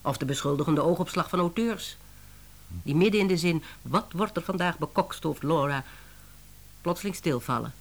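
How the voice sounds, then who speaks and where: An actress playing a wry, harried middle-aged woman editor in a Dutch radio play, expressive and exclamatory. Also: low-pitched, moderate pace.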